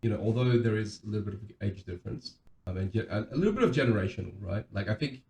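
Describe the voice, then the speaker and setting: A man in his thirties co-hosting a podcast, chatting casually in a hesitant, halting way. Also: lively intonation, gravelly, warm tone. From Australia. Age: 30s. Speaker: podcast co-host. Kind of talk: casual chat. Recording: roomy echo, faint background noise, low rumble.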